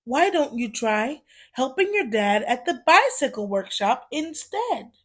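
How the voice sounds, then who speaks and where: Woman as a slow-spoken, gentle elf mother. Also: sits high, sing-song, exaggerated voice. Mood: gently advising.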